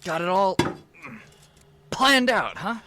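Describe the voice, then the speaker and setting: Radio drama role: suspicious hired junkie, gruff and slangy, very lively intonation, noisy backdrop. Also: male voice, high voice, slow, full character voice. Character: cocky, suspicious younger male junkie hired for dirty work. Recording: loud noise and clicks behind.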